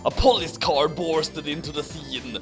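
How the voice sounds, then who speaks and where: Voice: mid-range voice, measured pace, soft background music. A person reading a fanfic aloud with comedic drama and casual asides, stumbling over misspelled text. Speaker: fanfic reader.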